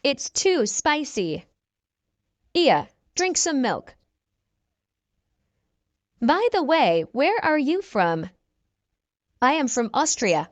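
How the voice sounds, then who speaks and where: Slow, high, lively woman teacher. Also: dry recording. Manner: reads short phrases slowly and clearly, repeating each exchange. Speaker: English teacher. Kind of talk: reading practice dialogues for learners.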